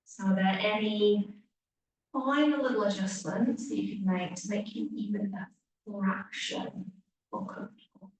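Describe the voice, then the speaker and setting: A woman teacher leading a guided relaxation meditation, speaking gently with long pauses and hushed phrase endings. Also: mid-range voice, steady tone. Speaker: yoga nidra teacher. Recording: echoey room, distant mic, faint hiss.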